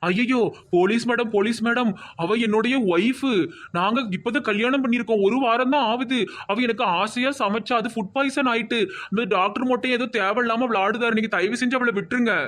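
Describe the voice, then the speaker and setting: A man voicing excitable, fussy village men in a comic cartoon, emphatic, with a Tirunelveli Tamil accent. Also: very high voice, a little fast. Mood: anxious, pleading. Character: excitable village men: indignant doctor, devoted newlywed husband.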